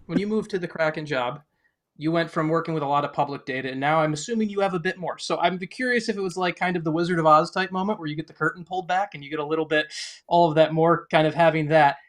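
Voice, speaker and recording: Man, podcast co-host, rapid casual interviewer, higher-than-average voice.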